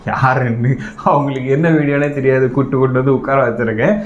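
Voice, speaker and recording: A man's voice: medium pitch, steady tone, quick pace. Echoey man hosting casually. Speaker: reaction channel host. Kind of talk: casual chat to viewers. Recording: dull, roomy, faint background noise.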